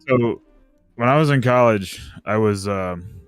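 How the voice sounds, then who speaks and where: A young man telling a casual personal story as a guest, halting and repeating words as he quotes dialogue. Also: lowish voice, clear, resonant tone, dry recording. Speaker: livestream guest. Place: online voice chat on a livestream. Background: music playing behind the voice.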